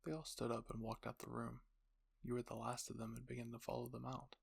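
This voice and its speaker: Gravelly male story narrator. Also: mid-range voice, monotone, brisk. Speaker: narrator and channel host. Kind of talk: scripted fan fiction narration.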